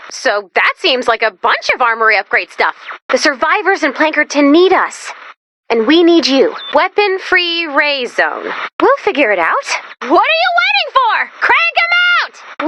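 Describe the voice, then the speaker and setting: Bright, high-pitched, neurotic robot sidekick. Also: female voice, a little hiss or hum, full character voice. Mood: perky. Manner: perky, neurotic, self-deprecating, dramatic. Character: excitable, anxious, sarcastic female robot helper. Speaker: video game mission-giving sidekick. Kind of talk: animated video game voice acting.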